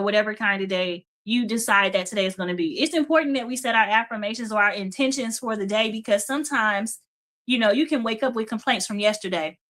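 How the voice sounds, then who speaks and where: A woman's voice: medium pitch, sharp tone. A middle-aged woman hosting a live faith and business stream, chatty and encouraging, says y'all, greets viewers by name, and pushes through a strained voice she is close to losing.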